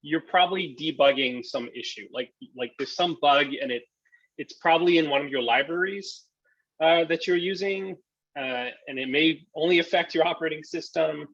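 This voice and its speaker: A man's voice: on the high side, moderate pace, fairly bright tone, no room sound, highs cut off. A man presenting a casual tech talk, answering questions in a hesitant, thinking-aloud way over a video call. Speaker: presenter and software developer.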